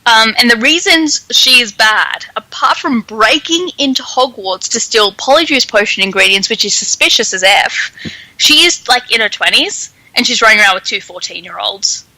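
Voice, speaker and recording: Bright-voiced woman chatting casually. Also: fairly high pitch, expressive, normal speed, no echo, poor audio. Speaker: podcast host. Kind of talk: casual chat, theorizing about a story.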